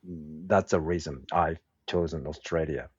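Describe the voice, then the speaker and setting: A middle-aged man from Japan, speaking English with a Japanese accent in a relaxed interview. He talks slowly and haltingly and searches for his words. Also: extremely low pitch, breathy edge, dry recording. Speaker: guest, woodworking craftsman and teacher. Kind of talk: casual interview conversation. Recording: dull, dry lo-fi sound.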